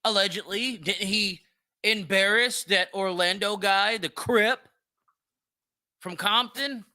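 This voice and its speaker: A man's voice: high-pitched, slow, bright, forceful tone. An American man giving blunt, profane, slangy solo commentary as a podcast host, repeating himself and asking rhetorical questions for emphasis. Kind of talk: opinionated solo commentary on celebrity news.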